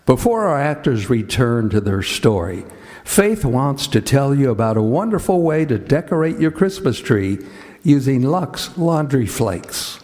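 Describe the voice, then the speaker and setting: Animated male radio announcer. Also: medium pitch, measured pace. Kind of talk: scripted old-time radio announcing. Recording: echoey hall, long reverb.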